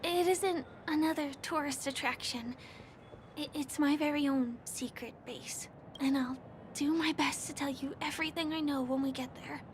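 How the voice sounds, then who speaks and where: An actress as a very high-voiced, gentle young woman. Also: moderate pace, a little hiss or hum, lightly acted. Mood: hopeful, tentative. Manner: soft, hesitant, sincere. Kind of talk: video game character dialogue. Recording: background music under the voice.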